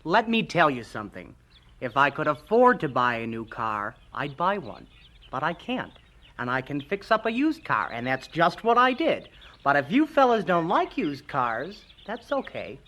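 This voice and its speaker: A man's voice: fairly high pitch, big pitch swings, normal speed, bright, forceful tone. A young male actor playing a touchy older teenage gang leader in a 1970s radio drama, emphatic and full of wounded pride. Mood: annoyed.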